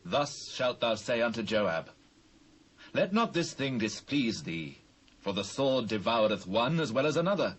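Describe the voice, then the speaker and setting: A proud ruler of the ancient world with a British accent. His voice is mid-pitched and husky, in the formal manner of a staged scripture drama, with a temper close under the surface. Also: big pitch swings, a little slow. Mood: composed, commanding. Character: proud, quick-tempered ancient king, later contrite. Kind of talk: dramatised Bible reading, British stage acting.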